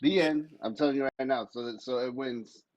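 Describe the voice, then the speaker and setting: A man's voice: medium pitch, fairly quick, darkish tone, dry recording, highs cut off. A man giving casual, blunt and emphatic commentary as a podcast panelist, swearing freely.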